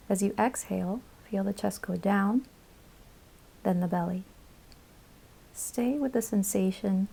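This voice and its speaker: A woman guiding a yoga nidra meditation in a calm, soothing, instructional manner, with measured phrases and pauses. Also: mid-range voice, gravelly, rough recording. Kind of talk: guided meditation narration.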